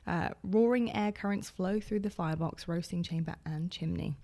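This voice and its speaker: Woman, podcast co-host, chatty and gushing, gravelly, mellow tone. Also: fairly low pitch, normal speed. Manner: chatty, gushing, reads label copy aloud. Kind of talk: casual chat. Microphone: broadcast in-ear monitors.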